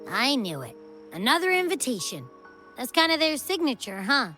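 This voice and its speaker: Breezy, animated, high-voiced game companion. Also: female voice, normal speed, over music. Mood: curious, eager. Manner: casual, slangy, expressive. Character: breezy, playful young female companion, greedy and food-loving. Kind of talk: animated video game voice acting.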